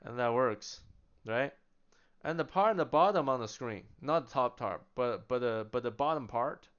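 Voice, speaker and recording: A man with a smooth, resonant voice talks through a product demo in a relaxed, chatty way. He keeps posing questions and answering them himself, with small laughs along the way. The recording is slightly dull and lo-fi. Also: mid-range voice, moderate pace. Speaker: product demonstrator. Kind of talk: casual product demonstration.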